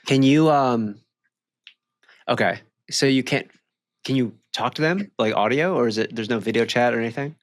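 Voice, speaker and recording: A man with an average-pitched voice co-hosts a casual chat. He talks in an enthusiastic, slangy way. The recording is very dry and close. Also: normal speed, no echo. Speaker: podcast co-host.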